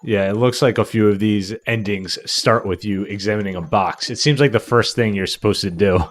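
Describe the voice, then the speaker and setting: A man's voice: on the low side, steady tone, a little fast, a little hiss or hum. A man co-hosting a livestream, explaining things casually in a matter-of-fact way. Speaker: livestream co-host. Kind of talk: casual chat. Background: faint game music.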